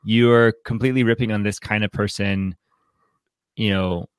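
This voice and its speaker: Casual male podcast co-host, lower-pitched, thinking aloud with frequent restarts, very dry sound. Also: fairly even intonation, moderate pace, clean tone, no room sound. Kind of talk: casual book discussion.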